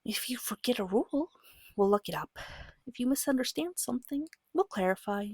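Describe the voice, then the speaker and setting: A woman giving a soft-spoken ASMR guide as a game master, gentle, patient and reassuring, with a breathy voice that often slips into a whisper, close to the mic. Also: fairly high pitch, expressive, fairly quick, dry recording. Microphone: close microphone.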